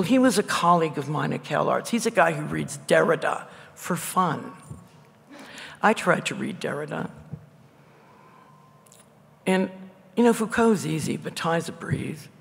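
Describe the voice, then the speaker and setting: An older woman painter with a loud voice, talking bluntly and wryly as the guest at a public conversation. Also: low voice, expressive, a little slow, vocal fry, pauses often. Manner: blunt, wry and digressive. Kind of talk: candid conversational talk at a public event. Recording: echoey hall.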